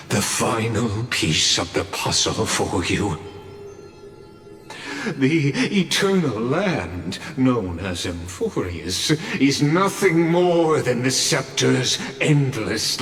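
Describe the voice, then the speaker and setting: An actor playing a calm, scheming scholar villain in a game cutscene, revealing his plan in a measured, knowing way. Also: lowish voice, full character voice. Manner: measured, knowing, theatrical revelation. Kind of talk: video game cutscene voice acting. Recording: roomy echo over game music.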